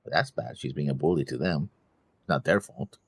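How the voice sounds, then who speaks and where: A man's voice: extremely low pitch, normal speed, husky, no room sound. A man co-hosting a reaction show, chatting casually about what he is watching.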